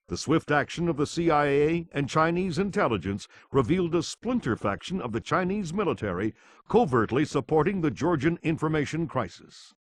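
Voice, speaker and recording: An actor playing an earnest television news anchor, formally delivering a scripted crisis report in a game. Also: male voice, fairly high pitch, dry recording. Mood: formal, solemn. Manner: formal, grave.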